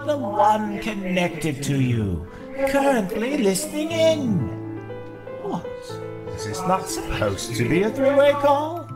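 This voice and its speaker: A mysterious, offhand mind-voice done by a woman, very slow and drawn out, with wide swings in pitch. Loud music plays over this visual novel character. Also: huge pitch swings.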